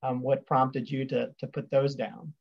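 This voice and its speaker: A man's voice: medium pitch, flat delivery, a little slow, creaky. Man in his thirties, friendly, thoughtful, lots of fillers, muffled lo-fi webcam sound. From West Virginia. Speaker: attorney. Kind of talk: video call conversation. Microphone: video call webcam. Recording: dull lo-fi webcam audio.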